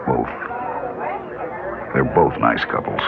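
An American actor playing a folksy, grimly dutiful middle-aged townsman in a classic radio drama, with a gravelly voice. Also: a bass voice, takes it slowly, a crowd in the background, exaggerated voice. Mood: grave, resigned. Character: grim, folksy townsman, calmly resigned to duty. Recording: muffled, noisy old radio recording.

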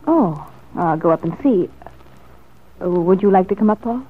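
An anxious but determined wife in a vintage radio soap. Her delivery is earnest and dramatic, with a slightly husky voice, and the old recording is thin and muffled. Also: on the low side, expressive, moderate pace. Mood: light, fond. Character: determined, anxious married woman longing for a baby. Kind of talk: 1940s radio soap opera acting.

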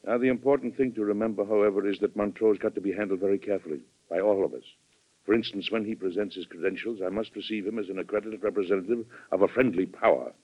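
An actor playing a measured, authoritative American president in a classic radio drama, speaking formally and deliberately as a calm mediator. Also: male voice, on the low side, lightly acted. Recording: dull old radio recording, music behind.

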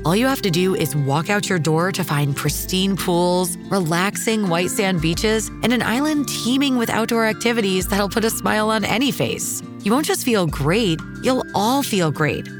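A woman with a mid-pitched voice narrates a scripted commercial. Music plays clearly behind her throughout. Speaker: advert voice-over.

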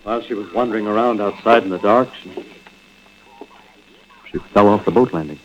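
An actor playing an evasive, guilty husband in a vintage radio thriller, halting and nervous in his delivery. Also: fairly low pitch, lightly acted. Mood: grave, soothing. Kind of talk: 1940s-50s radio drama acting. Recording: dull, noisy vintage radio recording.

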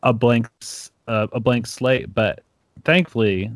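A man's voice: medium pitch, unhurried, dry recording, clean studio sound. A young man from Ecuador, a comic creator guest, telling stories casually and acting out quotes with lots of "like". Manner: animated storyteller, acts out quotes, many "like"s. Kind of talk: casual interview chat.

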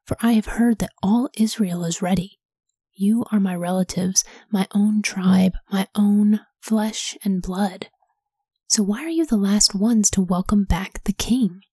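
Aging king, commanding but merciful, read by a woman in a husky whisper, dry. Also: mid-range voice, unhurried, no room sound. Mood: solemn. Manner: soft whisper. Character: ancient king, older man, commanding yet merciful.

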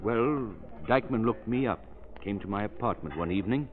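Vintage radio drama male actor: bereaved, sincere believer, hesitant yet expressive, slightly deep, through narrow old-time audio. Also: brisk, lightly acted. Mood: earnest, wistful. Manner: hesitant, earnest. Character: earnest bereaved War Department man, believer in seances. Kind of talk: 1940s radio acting. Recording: noisy, phone-like old radio recording.

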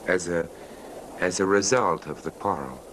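An actor playing a probing, measured male listener drawing out a confession in an old time radio drama. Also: fairly bright tone. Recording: noisy old radio recording, rumbling background.

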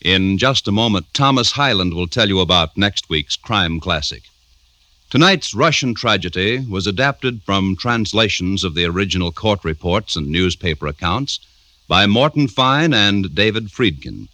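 A man works as an old-time radio announcer, formal and polished. His voice is low and forceful, with emphatic, sweeping intonation as he reads his script. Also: animated, normal speed, dry recording.

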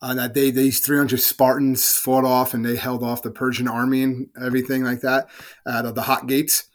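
A man's voice: medium pitch, little pitch movement, moderate pace. A middle-aged man co-hosting a casual podcast, teasing his partner with easygoing banter. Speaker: podcast co-host. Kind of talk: casual chat.

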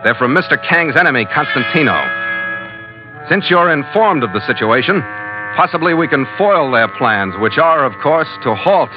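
Actor as terse sea captain, dull old radio. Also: male voice, a little high, lively intonation, normal speed, lightly acted. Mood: measured, wary. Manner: clipped, terse log-entry delivery. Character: hard-boiled seafaring ship's captain narrating his adventure log. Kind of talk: 1940s radio drama narration. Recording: dull old radio recording, loud music behind.

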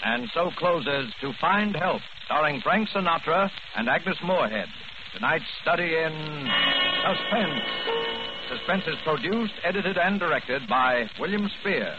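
A man announcing the close of a 1940s radio drama, speaking in a slow, stately, dramatic way. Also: a little high, lively intonation. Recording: dull old radio broadcast over music.

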